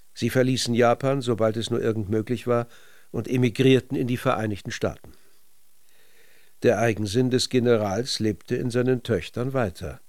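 Male narrator, mid-range voice, literary and measured, for a scripted radio documentary. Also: mid-range voice, a little mellow.

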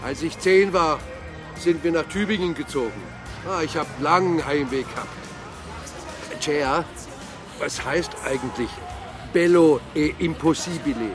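An actor playing a chatty, bookish bookseller, a detective's good-natured buddy, in a German radio crime drama. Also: male voice, on the high side, unhurried, over music, close to an everyday voice. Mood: nostalgic.

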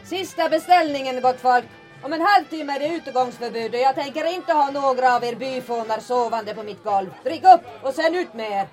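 A woman's voice: high voice, darkish tone, close to an everyday voice. An actress playing a shrewd, sardonic female bar owner in a comedic wartime audio drama, dry and teasing with a bossy streak. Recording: music and crowd chatter behind voice. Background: bar crowd and music.

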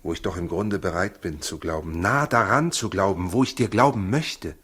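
An actor in a 1960s German radio play as a proud working husband torn by doubt, husky, weary and defensive. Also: male voice, low voice, normal speed, fairly bright tone. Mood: troubled, agitated.

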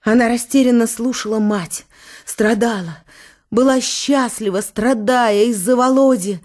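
A woman giving an expressive literary narration as the narrator of a radio drama. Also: fairly high pitch, measured pace.

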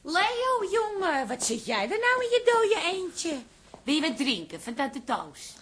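An actress playing a bossy, scheming, chatty café barkeeper in a radio drama, with brisk, wheedling banter. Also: female voice, extremely high pitch, huge pitch swings, fairly bright tone, faint music behind, exaggerated voice. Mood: cheery, coaxing.

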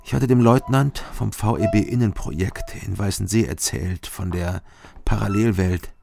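A man's voice: lowish voice, normal speed, creaky. An actor playing a sober, guarded East German man who tells his story tersely in a radio crime drama. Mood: sober narration. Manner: terse, understated. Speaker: narrator and lead character. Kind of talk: radio crime drama, first-person narration. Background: music.

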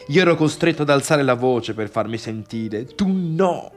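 A man's voice: medium pitch, lively intonation, moderate pace, soft background music, close to an everyday voice. A man playing a bitter adult son in a theatrical monologue, intense and reproachful, at times sinking to a near-murmur. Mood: bitter, wounded. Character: tired, bitter adult son confronting his overbearing father.

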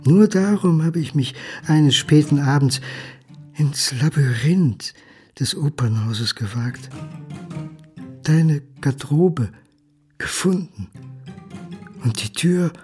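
A man's voice: on the high side, expressive, slow, pauses often, a little mellow, faint music behind. An actor playing a lonely, hard-drinking son who speaks to his father in a sardonic, dramatic monologue. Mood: wistful, intimate.

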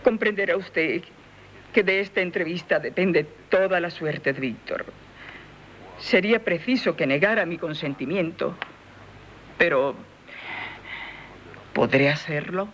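A woman's voice: on the low side, animated, a little slow, pauses often, dull audio, slight background noise. Anxious, strict aristocratic mother. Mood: anxious, insistent. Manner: formal, theatrical, lamenting. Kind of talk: 1970s Spanish radio drama acting.

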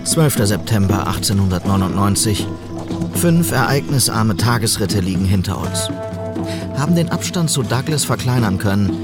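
Ein Schauspieler spielt im deutschen Hörspiel einen höflichen, wissbegierigen Reisenden im Wilden Westen. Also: male voice, on the low side, lightly acted. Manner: clipped journal narration, probing questions. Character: courteous, inquisitive male traveller riding the Old West. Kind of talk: German radio drama acting.

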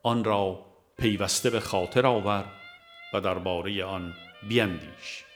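A man reading a devotional audiobook in a measured, solemn, formal literary manner. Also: sits low, steady tone, faint music behind. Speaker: audiobook reader. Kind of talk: scripted narration of a devotional text.

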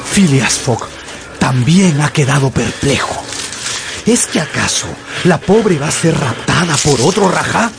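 A man narrating an adventure novel as dramatic scripted storytelling for radio. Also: fairly high pitch. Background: music.

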